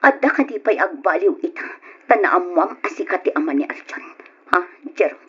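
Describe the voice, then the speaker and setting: A woman playing a single mother in an Ilocano radio drama, with a husky voice and an emotional delivery. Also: lowish voice, animated, normal speed. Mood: guarded, hurt. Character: Donna, single mother, tender with child, guarded with ex-lover. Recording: muffled lo-fi old radio recording.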